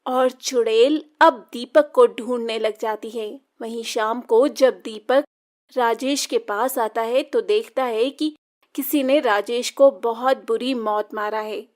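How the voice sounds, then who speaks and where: A woman's voice: a little high, fairly even intonation, slow pace, a little mellow. A woman storyteller reading scripted narration for a children's story.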